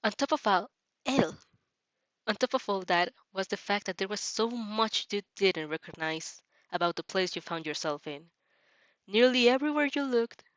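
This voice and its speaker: A woman's voice: mid-range voice, a bit bright, no echo. A woman narrating a story in an informal way, stumbling now and then and slipping into casual joking asides. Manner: informal, stumbles over words and jokes about it. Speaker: host reading a fan fiction aloud with reactions.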